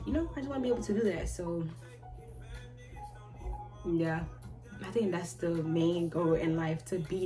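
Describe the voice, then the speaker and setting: A woman in her twenties answering viewer questions in a rambling, halting way, often restarting and trailing off. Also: lowish voice. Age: 20s. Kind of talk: casual chat. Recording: loud music playing behind her.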